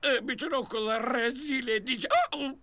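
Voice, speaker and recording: A woman's voice: sits high, normal speed. A woman voicing a nervous, comical grown-up hen in a children's cartoon, theatrical and fretful. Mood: fearful. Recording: loud music behind.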